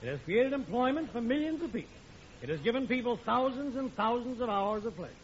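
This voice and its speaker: An actor playing a stern, exasperated middle-aged American driving examiner in an old radio sitcom, speaking in an official, deliberate way. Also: male voice, extremely high pitch, expressive, slight background noise. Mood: sardonic, grave. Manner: deliberate, official, building to indignant speeches. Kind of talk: 1950s radio sitcom acting. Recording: muffled old radio recording with studio audience.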